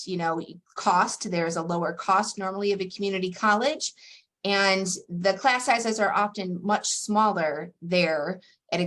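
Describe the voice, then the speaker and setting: A woman's voice: fairly low pitch, fairly bright tone. A middle-aged woman presenting on a webinar as a college administrator, enthusiastic and welcoming. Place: video call.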